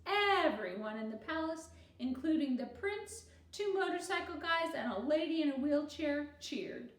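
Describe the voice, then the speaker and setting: Self-assured, bossy schoolgirl storyteller played by a woman, theatrical, swooping pitch, storybook reading in an echoey room. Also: distant mic. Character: confident, dramatic little schoolgirl storyteller, bossy and exasperated. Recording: roomy echo, faint background noise.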